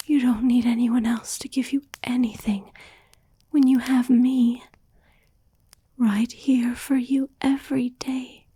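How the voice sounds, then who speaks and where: A woman playing an obsessive, wounded, possessive girlfriend in an intimate, halting, pleading ASMR whisper. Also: a little high, mellow, husky, heavily acted. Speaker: ASMR voice actor. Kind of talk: whispered ASMR roleplay monologue.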